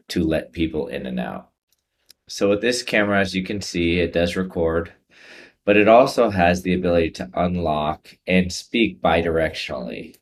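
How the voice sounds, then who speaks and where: A man presents a software tutorial, explaining each step in an informal way. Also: deep voice.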